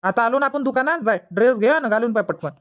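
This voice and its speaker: A woman acts out a doting village dad in a cartoon comedy. The voice is high for the part and very quick, briskly coaxing in Vidarbha Marathi. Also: expressive, close to an everyday voice. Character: brisk, doting village father of small children.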